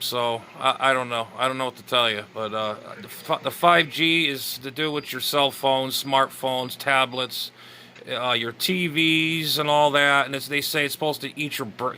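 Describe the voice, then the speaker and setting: A man giving rambling, casual reaction commentary as a vlogger, with a halting, stammering, grumbling delivery. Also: mid-range voice, sharp tone, slight background noise. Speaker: amateur radio vlogger.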